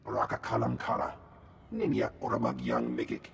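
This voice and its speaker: An actor playing a gruff alien in a video game, speaking an invented guttural tongue with a gravelly voice. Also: male voice, mid-range voice, big pitch swings, moderate pace, in a large hall, a little hiss or hum.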